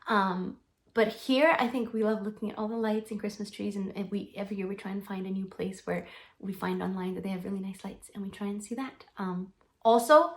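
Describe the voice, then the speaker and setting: A woman's voice: mid-range voice, steady tone, a little fast. Bubbly young woman gushing to camera. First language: Slovenian. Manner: bubbly, gushing, frequent ums and restarts. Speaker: singer-songwriter answering fan questions. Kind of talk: casual Q&A vlog.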